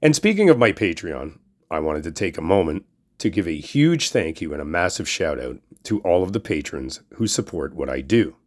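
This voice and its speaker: A man's voice: low-pitched, very animated, moderate pace, a little mellow. A man speaking as host in a scripted intro to his video.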